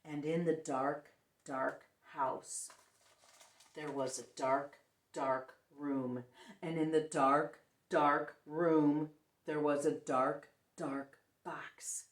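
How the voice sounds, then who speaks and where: A woman playing a cat schoolteacher telling a storybook ghost story in a hushed, drawn-out, suspenseful manner. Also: deep voice, fairly even intonation, voice pushed down. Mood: spooky suspense. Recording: roomy echo, distant microphone.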